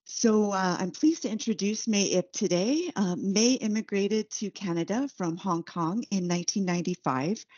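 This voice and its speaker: A woman archivist opens an event and introduces the guest speaker. Her voice is low and mellow, and she reads her remarks with small fillers. The sound is dry and dull. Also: dry recording.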